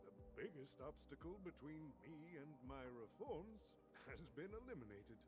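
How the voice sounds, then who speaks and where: A man's voice: fairly high pitch, lively intonation, normal speed, close to an everyday voice. A man acting a theatrical old tycoon over music. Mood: scornful, proud. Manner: theatrical, self-satisfied, chuckling. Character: wily old male business-minded family head, smug and theatrical. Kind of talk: video game character acting. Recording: game music under the voice.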